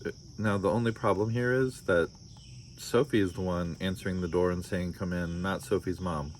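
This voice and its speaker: American male storybook reader, fairly low voice, drily joking asides, slight noise behind. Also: fairly even intonation, normal speed. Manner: wry, joking commentary. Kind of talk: children's story read-aloud with wry casual asides.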